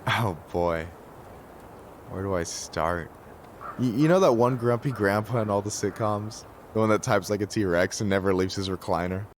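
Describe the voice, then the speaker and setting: A man playing a joking, warm-hearted young friend in a video game, bantering in a natural, playful way. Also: lowish voice, normal speed, lightly acted. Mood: fond reminiscing. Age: young adult. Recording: faint outdoor ambient noise behind.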